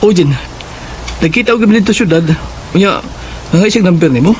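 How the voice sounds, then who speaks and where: A man's voice: high voice, animated, fairly quick, a little hiss or hum, low-quality recording. A man acting a casual, breezy friend passing on news in a Cebuano radio drama, with an offhand manner.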